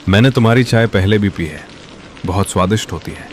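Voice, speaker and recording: A man playing an effusive, appreciative customer at a food stall in an animated story. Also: fairly low pitch, fairly quick, a little hiss or hum, lightly acted. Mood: delighted. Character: delighted male customer praising street food. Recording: rain and thunder behind.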